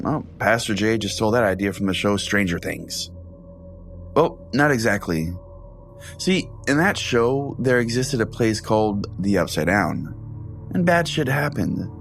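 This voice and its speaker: A man with a fairly low voice narrates a scripted comedic tale as a podcast host. He keeps a deadpan storyteller's manner, with music playing clearly behind him.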